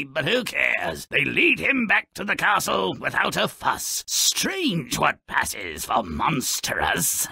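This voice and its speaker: A man voicing a sardonic, mischievous, grotesque little fairy-tale creature who narrates a video game with raspy, theatrical, gleefully macabre sarcasm. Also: on the high side, pushed and bright, dry recording, studio quality, heavily acted. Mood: mock-dramatic storytelling. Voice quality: raspy, gravelly. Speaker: character narrator.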